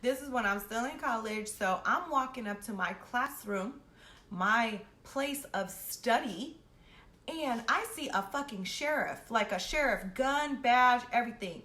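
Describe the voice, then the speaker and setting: A young woman with a bright, forceful, fairly high voice tells a personal story in a brash, slangy way full of swearing. She acts out both sides of each exchange as she goes. The recording is roomy and echoey. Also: animated, measured pace. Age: young adult. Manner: brash, slangy and heavily profane. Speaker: host of a storytime series. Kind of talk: animated personal storytelling, casual. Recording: roomy echo with faint background noise.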